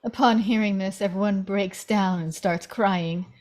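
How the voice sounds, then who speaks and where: Female narrator for script table read, mid-pitched, reading action lines. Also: steady tone, a little slow, darkish tone. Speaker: stage-direction reader.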